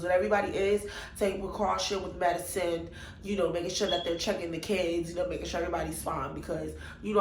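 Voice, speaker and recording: A woman's voice: fairly low pitch, echoey room, slight background noise, poor audio. A young woman vlogger with a congested, nasal voice, chatting animatedly as she tells a personal story. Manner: animated, chatty, exclaims to God and Jesus. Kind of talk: casual storytime vlog.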